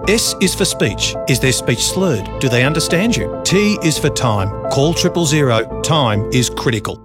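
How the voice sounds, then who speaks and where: A man's voice: medium pitch, expressive, normal speed. A man reading a scripted public service announcement as a radio announcer. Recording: produced radio spot over loud music. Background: loud music.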